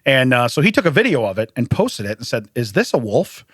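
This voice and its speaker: A man's voice: medium pitch, very animated, brisk, fairly bright tone, breathy edge, no echo, studio quality. A man hosting a podcast, telling stories in casual chat, laughing often and restarting his sentences. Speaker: podcast host.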